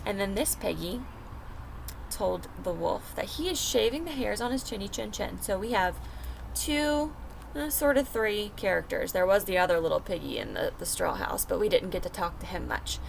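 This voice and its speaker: Lively woman reading to young children. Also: medium pitch, normal speed, vocal fry, a little hiss or hum. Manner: simple explanatory talk, asking and answering questions. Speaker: teacher reading aloud to children. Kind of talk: picture-book read-aloud with questions to young children.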